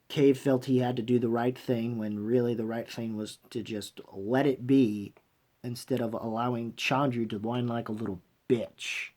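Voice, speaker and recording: Husky man giving halting fan commentary. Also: mid-range voice, a little mellow. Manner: halting, repeats and rewords phrases, emphatic; blunt, swears freely. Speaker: host of a fan review channel. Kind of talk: unscripted opinionated fan commentary.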